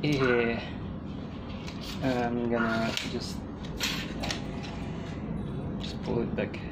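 A man's voice: mid-range voice, level tone, extremely slow. A man vlogging casually, muttering to himself in short bursts and exclamations as he does a task. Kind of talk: casual self-narration during a task. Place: outdoors on a tiled home balcony. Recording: clear ambient outdoor noise, passing vehicles.

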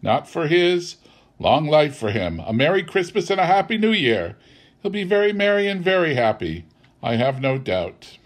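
A scornful Victorian housewife from a storybook, acted by a man, raised far higher in pitch. The sharp-tongued delivery is emphatic and very animated. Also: moderate pace. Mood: grudging. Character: indignant, sharp-tongued Victorian wife and mother.

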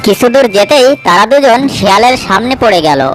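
A woman's voice: on the high side, fairly quick, over music, low-quality recording. A woman narrating a scripted children's cartoon story.

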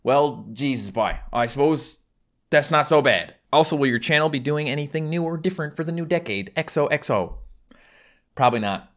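A man's voice: a little high, animated, moderate pace. Twenties male vlogger, strong Newfoundland accent, joking self-mocking delivery, home desk setup. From Newfoundland, Canada. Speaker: YouTuber. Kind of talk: casual vlog to camera. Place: small home room indoors.

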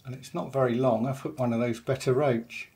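An older man calmly narrating a day's fishing in a voice-over, matter-of-fact and unhurried, with frequent pauses. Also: mid-range voice. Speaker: angler vlogger.

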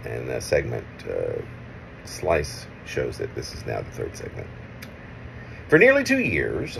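A middle-aged man reads his own poetry in a low, gravelly voice that moves up and down in lively swings. His phrasing is measured and halting, broken into short pieces with offhand asides. It sounds recorded on a phone in a room at home. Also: a little hiss or hum. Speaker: poet reading own work. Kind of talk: poetry reading with casual asides. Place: small room at home, indoors. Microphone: phone at arm's length.

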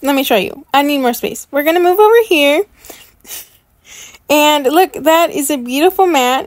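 A woman's voice: high-pitched, dry recording. A woman giving chatty, gushing commentary as a gaming YouTuber unboxing items in a game. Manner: enthusiastic, gushing.

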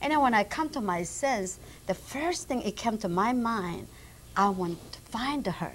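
A woman's voice: mid-range voice, animated, measured pace, slight background noise. A middle-aged woman from Korea with a Korean accent and simple, broken English, a talk-show guest vividly telling her story on a TV studio set, on a lapel mic. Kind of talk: casual chat. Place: TV studio set decked with flowers.

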